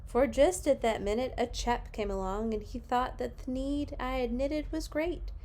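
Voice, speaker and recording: A woman hosting children's story time, reading a storybook aloud with deliberate dramatic emphasis. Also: high voice, a little mellow, a little hiss or hum. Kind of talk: expressive storybook read-aloud.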